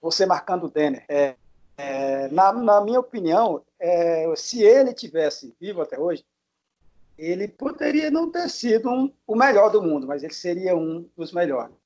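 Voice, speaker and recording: A man's voice: sits high, big pitch swings, moderate pace, a little mellow. A man hosting a casual interview, friendly and familiar with his guest, asking questions and sharing his own memories. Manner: friendly, calls guest "my dear". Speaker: interviewer, host. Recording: dull lo-fi sound.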